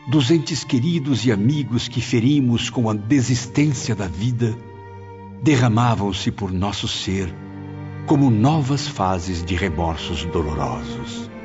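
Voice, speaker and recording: Low-voiced man, solemn, animated narration. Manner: solemn, emotive, literary delivery. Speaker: first-person audiobook narrator. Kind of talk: dramatic scripted narration. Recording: dull lo-fi with music bed. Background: clear background music.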